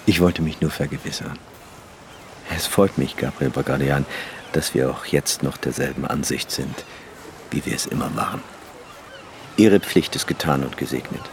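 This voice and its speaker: A solemn spiritual leader in a radio drama. His voice is extremely low, his speech formal and deliberate. Faint ambient noise sits behind him. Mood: solemn, settled. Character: grave, dutiful male spiritual leader, solemn and measured. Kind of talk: German radio drama acting.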